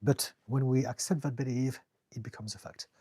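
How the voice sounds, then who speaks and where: A middle-aged man from France with a French accent, calmly reading viewer questions and answering them as a therapist on a live stream. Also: medium pitch, moderate pace, slightly rough, dry recording, clean studio sound. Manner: calm, reassuring, reads out viewer comments with light laughs. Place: home in the UK.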